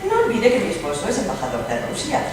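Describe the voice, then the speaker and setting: Woman acting a lively, ironic princess, distant and echoey. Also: medium pitch, fast, a crowd in the background. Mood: amused, teasing. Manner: theatrical, playfully ironic storytelling. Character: lively gossipy princess, Russian ambassador's wife, mocking. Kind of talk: dramatized radio-play reading. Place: live stage reading in a hall. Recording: distant roomy live recording, clear noise.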